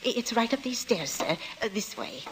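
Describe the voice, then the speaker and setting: An actress playing an anxious, deferential middle-aged housewife in an old radio suspense drama, stammering and flustered. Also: female voice, on the high side, sing-song, moderate pace. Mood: eager, deferential. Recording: noisy old radio broadcast recording.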